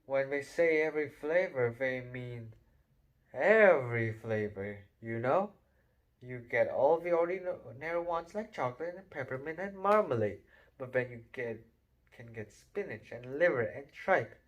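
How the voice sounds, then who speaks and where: Man doing an excitable, blunt young wizard boy, animated, storybook. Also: mid-range voice, moderate pace, dull audio, natural voice. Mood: chatty.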